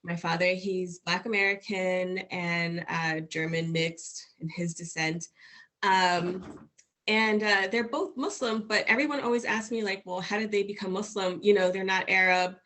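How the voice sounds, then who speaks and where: Animated woman in her forties. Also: lowish voice, a little slow. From Washington, D.C. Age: 40s. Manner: animated, with many fillers and restarts. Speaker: guest. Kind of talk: casual interview storytelling.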